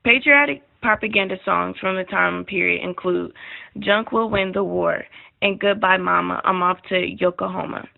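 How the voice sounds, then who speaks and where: A woman's voice: mid-range voice, moderate pace, vocal fry. A woman reading scripted documentary narration on a muffled, narrow-band recording.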